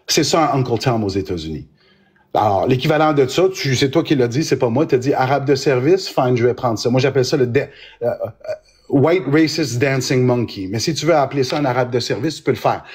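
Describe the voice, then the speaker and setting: A man's voice: medium pitch, expressive, brisk, darkish tone, slightly rough. A man with a Québécois French accent, a lawyer explaining his view in a live-stream debate, often restarting his words. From Quebec. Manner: explanatory, hesitant with frequent restarts.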